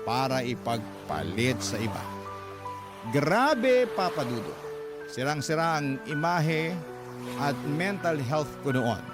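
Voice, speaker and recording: An aggrieved letter writer telling a first-person radio story. The mid-pitched voice is confiding and sorrowful, set over loud dramatic music. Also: male voice. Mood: dismayed. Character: aggrieved letter writer recounting a troubled breakup.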